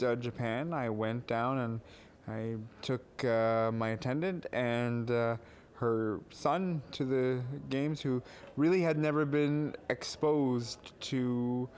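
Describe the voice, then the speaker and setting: Slow-talking male podcast host. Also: medium pitch, darkish tone, slight background noise. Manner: measured, with fillers. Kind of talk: scripted intro then interview questions.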